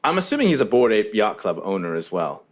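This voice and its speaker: A man's voice: lowish voice, very animated, fast. A man hosting a podcast in a music studio, upbeat and chatty, often repeating words and restarting his sentences. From Mauritius. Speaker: podcast host. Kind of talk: casual podcast interview.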